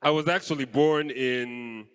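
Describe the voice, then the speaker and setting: A young man from Kenya doing live stand-up comedy on a stage mic, playful and animated. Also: medium pitch, a little slow, clear, resonant tone. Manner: animated, playful, switches voices. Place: stage in a large hall. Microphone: handheld stage mic. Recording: live hall with long reverb.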